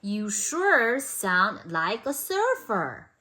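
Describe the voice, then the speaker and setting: A woman's voice: medium pitch, sing-song, extremely slow, bright, forceful tone, full character voice. A woman reading the part of an eager, confident young truck hero in a children's storybook, with short upbeat lines. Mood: friendly.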